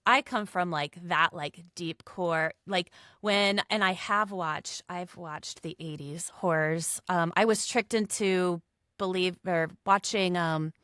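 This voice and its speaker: A woman guest chatting casually and telling stories in an animated, playfully dramatic way. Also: fairly low pitch, slow pace, smooth, dry recording, clean studio sound. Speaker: voice actor, podcast guest. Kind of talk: casual group chat.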